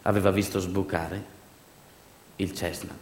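A man delivering a dramatic theatrical monologue, intense and rhythmic, with repetitions and deliberate pauses. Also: sits low, little pitch movement, a little mellow, a little hiss or hum. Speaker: stage actor and narrator. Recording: roomy, echoing sound.

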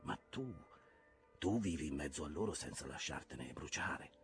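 A grim, obsessed old sea captain, put on by a male audiobook reader. The voice sits deep and swings widely in pitch, dropping to breathy whispers and then rising into forceful commands. Soft music plays faintly underneath. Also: animated, normal speed. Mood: brooding. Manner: intense, shifting between whispers and loud outbursts.

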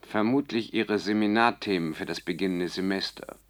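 An actor playing a subservient, politely restrained brain-bank operative in a German science fiction radio play, speaking in a formal, measured way. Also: male voice, low voice, natural voice.

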